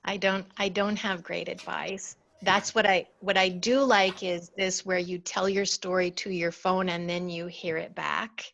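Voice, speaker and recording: A woman who coaches storytelling, presenting an online webinar in a friendly, direct and slightly halting way over a video call. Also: on the low side, level tone, vocal fry, fairly bright tone. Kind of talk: casual online webinar talk.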